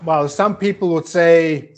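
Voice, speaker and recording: A man answers interview questions in English, pausing often with fillers and restarts. His voice sits a little higher than most men's. He speaks in a measured, careful way. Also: a little mellow. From German-speaking country. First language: German. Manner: hesitant, many fillers, measured. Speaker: guest.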